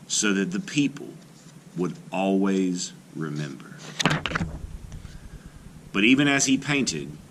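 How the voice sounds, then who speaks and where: A man reading a children's folk tale aloud like a teacher, with deliberate, expressive phrasing. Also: low voice, background noise. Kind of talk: storybook read-aloud narration.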